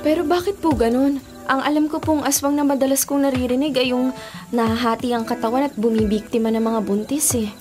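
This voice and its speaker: Woman acting high-pitched, anxious young heroine. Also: steady tone, fairly quick, a little mellow, lightly acted. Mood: puzzled. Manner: earnest, questioning. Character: anxious, determined young woman seeking her mother. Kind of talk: Filipino radio drama acting. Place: small radio studio. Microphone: studio condenser microphone. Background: dramatic music bed.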